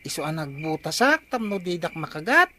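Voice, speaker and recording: A woman's voice: sits low, very animated, normal speed, sharp tone, a little hiss or hum, exaggerated voice. A woman playing an inquisitive, cheeky young grandchild with an Ilocano accent in a radio comedy drama, chatty and questioning. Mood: eager.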